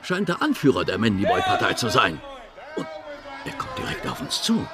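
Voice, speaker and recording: An actor in a German audio drama playing a scheming, officious detective, formal, insistent and ingratiating. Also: male voice, mid-range voice, wildly swooping pitch, moderate pace, crowd noise. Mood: alarmed.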